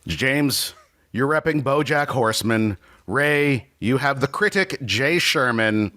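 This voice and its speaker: Male game-show-style moderator, lively pitch, theatrical announcing with casual asides. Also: slow pace. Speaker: host and moderator of a comedic debate show.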